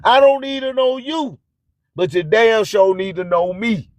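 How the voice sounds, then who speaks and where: A man's voice: high voice, a little slow, no room sound. A man hosting a radio show, delivering a preachy, emphatic spoken-word motivational monologue full of repeated catchphrases. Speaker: radio host.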